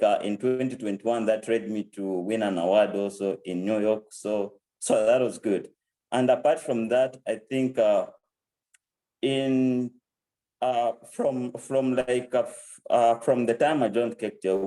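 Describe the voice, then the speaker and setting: A man's voice: fairly low pitch, warm tone, poor audio. A man in his twenties with a Zambian accent, giving an informal testimonial as a program alumnus. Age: 20s. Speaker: program alumnus and country director.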